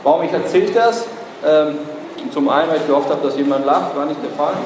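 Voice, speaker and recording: A man's voice: on the high side, brisk, a little mellow. A young man from Berlin tells anecdotes from a stage, with frequent hesitations and wry asides. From Berlin, Germany. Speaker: founder giving a talk. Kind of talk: anecdotal talk to an audience. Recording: echoey hall recording with noise.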